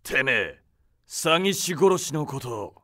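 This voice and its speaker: A man playing a hot-blooded, rough Edo detective in an anime-style audio drama, talking in belligerent commoner slang. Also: mid-range voice, very animated, slow pace, fairly bright tone. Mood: menacing.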